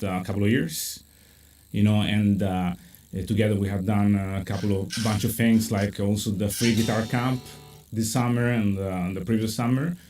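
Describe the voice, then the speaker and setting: A man with an Italian accent hosting a casual live chat over a video call, talking in lively bursts with many fillers. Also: slight background noise. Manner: animated, many fillers, pitch jumps when excited. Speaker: host.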